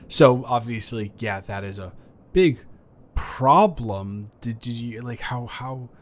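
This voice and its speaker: A man's voice: medium pitch, takes it slowly, close to an everyday voice. A man playing an awkward, friendly neighbor in an improvised roleplay audio, stammering and rambling hesitantly. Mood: sympathetic, nervous.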